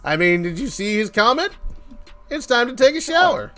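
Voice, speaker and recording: A man's voice: very high voice, big pitch swings, normal speed, a bit bright. A man chatting casually and jokingly as he hosts a game developer's live stream, playfully rambling. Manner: joking, playful, acts out little imagined exchanges. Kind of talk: casual rambling livestream chat. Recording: music under the voice. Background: background music.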